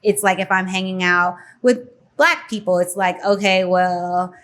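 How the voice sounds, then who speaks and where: A young woman from the Philippines hosts a relaxed interview, her voice sitting a little low. She talks in a loose, chatty way, circling back with "you know what I'm saying". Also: unhurried. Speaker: podcast host. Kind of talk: casual interview chat.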